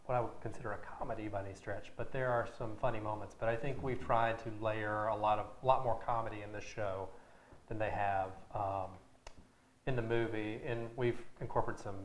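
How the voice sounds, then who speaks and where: A man's voice: fairly low pitch, fairly even intonation, brisk. An American man chatting as an interview guest, hesitant and a little self-deprecating. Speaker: theatre director, interview guest. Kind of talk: casual chat. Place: indoor room, television interview. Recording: echoey room with background noise.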